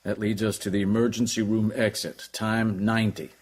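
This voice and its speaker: An actor playing a dutiful government security agent, briefing in clipped, deferential phrases in an audio drama. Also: male voice, lowish voice, flat delivery, moderate pace, a little mellow, natural voice. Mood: brisk, procedural. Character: brisk, dutiful male Secret Service agent.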